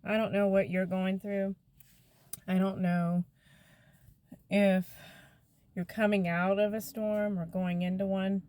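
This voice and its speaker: Gentle, chatty woman in her fifties. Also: medium pitch, level tone, takes it slowly, long pauses, dry recording. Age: 50s. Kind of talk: casual devotional talk to viewers.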